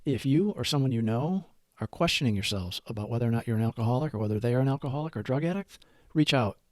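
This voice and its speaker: A man's voice: mid-range voice, lively intonation, quick pace, a little mellow, no room sound, studio quality. A man hosting a recovery channel, reading a scripted daily reflection and prayer.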